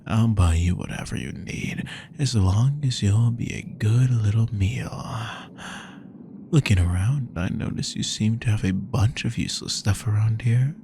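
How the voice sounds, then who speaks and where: A man voicing a possessive, powerful male vampire, speaking slowly with a gravelly voice and frequent whispers, menacing and obsessive, close to the mic. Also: on the low side, background noise. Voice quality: gravelly, slow, often whispering. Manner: menacing, possessive, drawn-out delivery. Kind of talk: roleplay audio drama.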